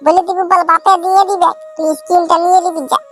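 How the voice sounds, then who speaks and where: Man acting as cartoon village mum, very high pitch, exasperated grumbling, blunt scolding, Purulia Bengali accent, light music behind. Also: level tone, fast talker, fairly bright tone. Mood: dismissive. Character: exasperated, scolding village mother, quick to threaten.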